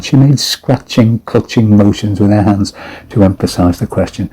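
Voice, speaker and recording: Deep, dark-voiced man narrating horror. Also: slightly rough, low-quality recording. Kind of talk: dramatic audiobook-style story narration.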